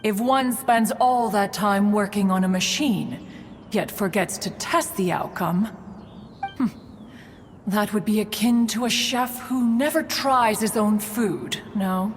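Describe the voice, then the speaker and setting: A woman's voice: mid-range voice, slow pace, big-room sound, faint music behind, lightly acted. A woman voicing a dignified ancient female crane adeptus in a game, speaking formally and referring to herself as "one". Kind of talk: game voice acting.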